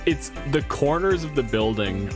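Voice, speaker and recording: A man's voice: medium pitch, expressive, moderate pace. A man casually narrating a tabletop game as game master, with a wry, joking manner. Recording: faint background music bed. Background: music.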